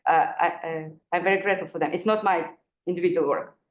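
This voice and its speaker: A woman's voice: fairly low pitch, quick pace. A woman panelist giving an academic talk, hesitant with many fillers and light laughs. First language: not Kazakh; Kazakh is her second language. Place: university event room. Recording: echoey room with faint noise.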